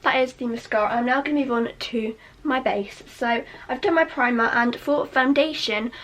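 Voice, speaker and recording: A young woman with a slightly hoarse, fading voice, talking through a casual makeup tutorial in a chatty, rambling way. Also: a little high, moderate pace, fairly bright tone. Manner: chatty, rambling with many fillers. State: losing her voice, slightly hoarse. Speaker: beauty vlogger.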